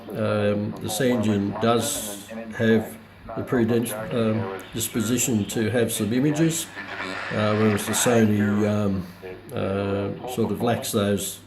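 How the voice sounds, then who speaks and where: Hobbyist reviewer, male, fairly low voice, casual and hesitant, unscripted demo with clear chatter in the background. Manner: halting, hesitant, searching for words. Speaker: presenter of a hobbyist radio review video. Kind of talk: unscripted product demonstration, casual. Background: radio broadcast voices playing from the demonstrated receiver.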